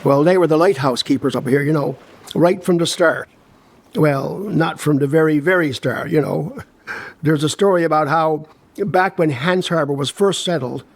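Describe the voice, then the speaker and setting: An older man with a Newfoundland accent playing a friendly, proud village local who reminisces in a chatty, folksy storytelling monologue with asides. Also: a little high.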